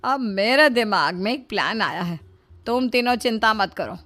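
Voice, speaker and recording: A woman's voice: mid-range voice, expressive, normal speed, pushed and bright. A woman voicing a scheming middle-aged mother-in-law in a cartoon story, speaking in an emphatic, coaxing motherly way.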